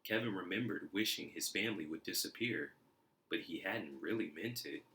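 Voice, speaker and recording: A man reading a children's storybook aloud in an expressive, deliberate narrating style. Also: low voice. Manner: expressive, deliberate, acts out sounds. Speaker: storybook reader.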